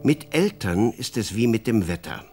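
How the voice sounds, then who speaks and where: A man's voice: lowish voice, big pitch swings, moderate pace, over music. A man narrating a scripted children's story, addressing his young listeners directly as a storyteller.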